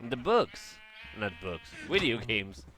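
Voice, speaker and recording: A man giving casual, unscripted gaming commentary in a halting way, often rephrasing and correcting himself. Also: mid-range voice, very animated, over music. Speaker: gaming vlogger.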